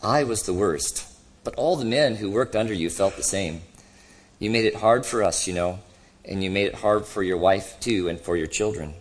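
A man voicing a gentle, cheerful redeemed spirit in a storybook reading, patient, humble and lightly amused. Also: on the low side, moderate pace, natural voice. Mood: earnest, humble.

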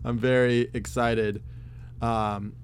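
A man co-hosting a casual podcast chat, talking in an excitable, rambling way with lots of fillers and swearing, his voice getting worn. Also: medium pitch, takes it slowly, slight background noise. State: losing his voice. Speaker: podcast host.

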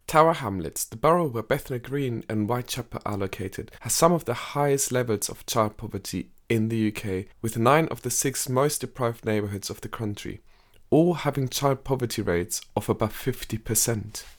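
A man giving scripted documentary narration for a podcast. He tells it in a measured way and lifts his voice for quoted speech. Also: medium pitch.

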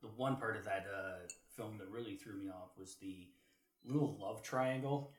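A man's voice: fairly low pitch, normal speed, roomy sound. A man co-hosting a casual history chat among friends, telling stories in a hesitant, rambling way. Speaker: podcast co-host.

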